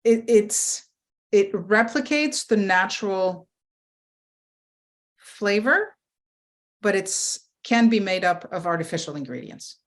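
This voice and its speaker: A woman's voice: medium pitch, lively intonation, slow pace, pauses often. A woman guest from the fragrance and flavor industry, explaining things in casual conversation and often adding tag questions. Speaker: guest, former industry professional.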